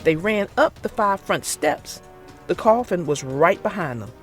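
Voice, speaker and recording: An expressive children's storyteller reads with very lively, swooping intonation. The delivery is theatrical and deliberate, made for young listeners, over faint background music. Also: medium pitch. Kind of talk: expressive scripted read-aloud for young children.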